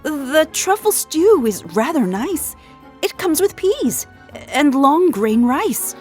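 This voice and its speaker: A woman voicing an excitable, fussy woman chef in a children's storybook, with a prim theatrical manner. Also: high-pitched, slow, faint music behind, pitched above the performer's own voice, heavily acted. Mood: nervous, eager.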